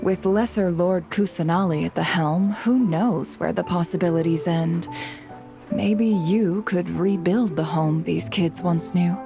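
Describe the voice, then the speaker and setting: A woman's voice: on the low side, measured pace, creaky, music in the background. A woman playing a composed, shrewd guild leader in a video game, with a cool, casually assured delivery. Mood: hopeful, earnest. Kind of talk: dramatic video game voice acting.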